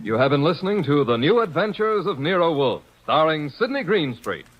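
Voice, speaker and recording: A man's voice: a little high, normal speed, muffled recording. A man announcing the credits and program notes in a formal 1940s radio style. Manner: formal, crisp and lively. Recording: old radio broadcast with music.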